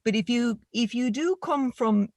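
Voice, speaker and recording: A woman's voice: on the high side, unhurried, no echo. A woman with a rural Irish accent speaking earnestly and emphatically as a panelist in a discussion. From Ireland.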